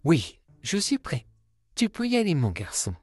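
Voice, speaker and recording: Friendly puppet come to life male actor, courteous and gentle, very animated intonation, music underneath. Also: a little high, normal speed, no room sound. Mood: agreeable, proud. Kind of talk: French fan comic dub acting.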